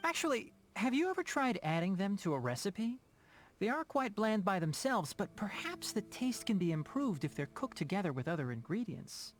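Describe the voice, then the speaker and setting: Smart polite young forest ranger from a game, articulate, lots of pitch movement. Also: male voice, very animated, soft background music. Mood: curious. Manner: measured, articulate. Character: knowledgeable, courteous, precise young male forest ranger. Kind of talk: video game character acting.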